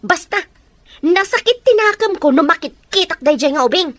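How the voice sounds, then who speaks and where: A woman's voice: very high voice, lively intonation, normal speed, fairly bright tone, no room sound. An actress playing a bitter wife who resents her husband's child in a radio drama. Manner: bitter, accusing.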